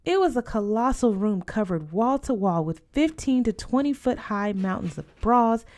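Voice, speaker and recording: A woman's voice: on the high side, expressive, normal speed, darkish tone. A woman reading scripted first-person fiction aloud as an audiobook narrator. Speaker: audiobook reader.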